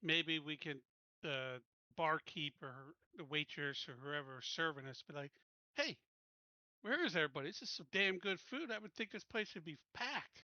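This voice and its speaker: A man's voice: on the high side, animated, moderate pace, pushed and bright, no echo, muffled recording. A man playing a tabletop roleplaying game, chatting casually and thinking plans through aloud.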